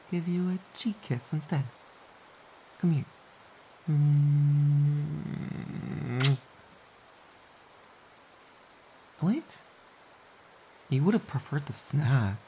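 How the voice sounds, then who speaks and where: Low-voiced, mellow, teasing romantic partner played by a woman. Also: takes it slowly, pauses often, low-quality recording. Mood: playful teasing. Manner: teasing, giggly, mock-pouty. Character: playful, teasing, affectionate romantic partner snuggling in bed. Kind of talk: intimate audio roleplay, soft casual pillow talk. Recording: faint background hiss.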